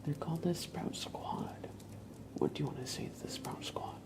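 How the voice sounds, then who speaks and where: A man speaks in a breathy whisper with a crackly, fried edge. He hosts an ASMR livestream in a casual, unscripted way. His phrases come haltingly and wander as he chats informally. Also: fairly high pitch, music in the background. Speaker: livestream host, ASMR creator. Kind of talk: ASMR, casual live chat.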